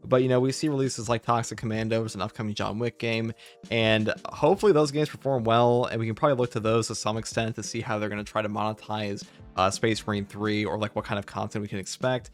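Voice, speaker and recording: A man's voice: lowish voice, steady tone, fast talker. A man hosting a casual gaming commentary discussion, speaking in a conversational, opinionated way. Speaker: video game channel host. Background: background music.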